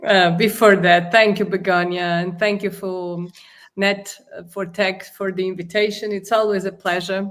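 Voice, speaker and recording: A woman's voice: mid-range voice, a bit bright. Female guest expert, fluent and explanatory in her answers. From Portugal. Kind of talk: interview answer.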